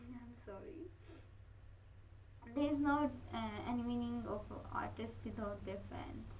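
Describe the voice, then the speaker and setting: A woman reacts to a video in an earnest, halting way, full of fillers and restarts. Her voice sits a touch high and comes from some distance off the mic, with a faint hum of room noise behind her. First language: not Korean. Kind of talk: casual spoken reaction.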